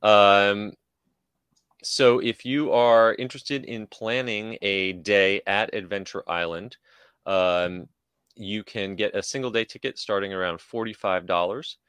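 A man co-hosting a podcast, going through theme park news informally, with a somewhat halting delivery. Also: fairly low pitch, steady tone, fairly bright tone, clean tone, no room sound. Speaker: podcast co-host.

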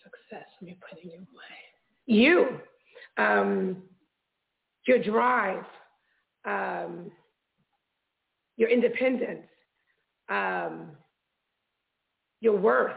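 A woman's voice: mid-range voice, drawn-out words, creaky, pauses often. A playful, exclamatory woman chatting casually as a guest in a word-association game. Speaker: guest being interviewed. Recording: narrow phone-like band.

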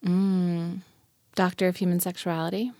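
A woman's voice: lowish voice, little pitch movement, moderate pace, warm tone, dry recording, clean studio sound. An American woman hosting a casual chat in a playful, frank way. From United States. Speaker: host, sexuality educator.

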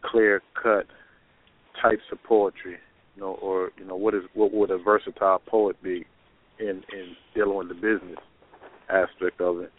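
A man who performs poetry, talking casually and haltingly in a panel discussion over a phone line. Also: low voice, flat delivery. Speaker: poet and showcase promoter. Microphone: telephone. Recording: tinny phone-line call-in audio.

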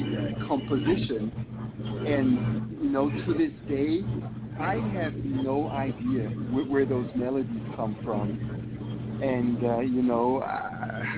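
A man from Switzerland, a musician answering interview questions in a reflective, hesitant way and often repeating words. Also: mid-range voice. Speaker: musician guest. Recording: tinny phone line with noise.

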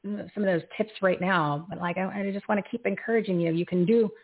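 A woman with a husky, gravelly voice, heard over a narrow, phone-like line. She hosts live radio in a loose, rambling way, losing her thread and laughing at her own slips. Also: medium pitch, steady tone, fairly quick. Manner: rambling, loses her train of thought, laughs at herself. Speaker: radio show host. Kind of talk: casual live radio talk.

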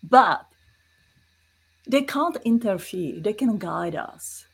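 Husky-voiced woman with a Czech accent. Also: mid-range voice, animated, slow pace. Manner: earnest, explanatory, non-native phrasing. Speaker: guest. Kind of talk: spiritual interview conversation.

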